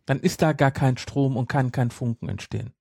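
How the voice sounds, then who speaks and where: Husky, mellow man explaining casually. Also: medium pitch, moderate pace, no room sound, clean studio sound. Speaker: podcast co-host. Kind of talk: casual fan discussion.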